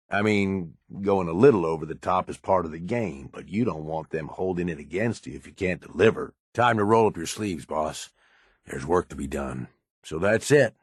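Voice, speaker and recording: A man voicing a cynical, ruthless raider henchman in a video game, with a rough husky voice and a folksy, slangy, sardonic drawl. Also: low voice, no echo, studio quality. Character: gruff cynical ruthless male raider henchman in a post-apocalyptic wasteland.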